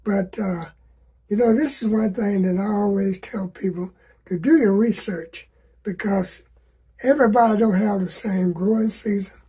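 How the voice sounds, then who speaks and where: A hoarse man from South Carolina, giving folksy gardening advice to his viewers outdoors and repeating his sayings to drive them home. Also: high voice, steady tone, normal speed, warm tone. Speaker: gardening vlogger.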